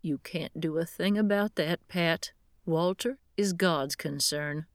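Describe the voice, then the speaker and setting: A woman voicing a calm, comforting mother who speaks softly and steadily. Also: fairly low pitch, slow pace, dry recording, clean studio sound. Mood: soothing. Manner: soft, soothing, steady. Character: calm, comforting mother, middle-aged or older.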